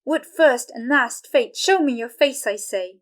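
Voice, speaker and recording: A woman acts a young wife in a poetic radio play, frightened but defiant. Her voice is high and she declaims slowly, weighing each phrase. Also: animated.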